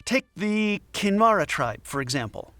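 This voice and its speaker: A man's voice: high-pitched, big pitch swings, normal speed, bright, forceful tone, no room sound, soft background music, full character voice. A man playing a passionate, earnest anthropology professor in his late thirties in an audio drama. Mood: passionate, instructive. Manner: earnest, emphatic lecturing. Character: passionate, frazzled 39-year-old male anthropology professor.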